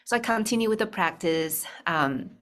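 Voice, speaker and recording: A woman from Taiwan, a speech-language pathologist, talking through her story in a video call interview with many pauses and ums. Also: fairly low pitch, animated, a bit bright. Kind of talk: casual interview talk. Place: bright room indoors.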